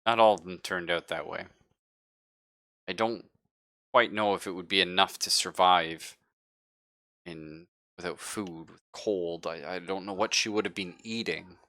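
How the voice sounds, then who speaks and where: Deep, bright-voiced man, slow and halting. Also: no room sound. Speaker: player in a streamed tabletop roleplaying game. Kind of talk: casual chat, narrating a character.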